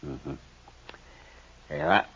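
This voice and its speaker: An actor playing a sly, needling hustler with an unhurried, falsely friendly manner in a 1950s radio drama. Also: male voice, a bit bright, a little hiss or hum. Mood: insinuating. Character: sly, smooth-talking, needling male hustler, an old buddy. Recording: muffled old radio recording.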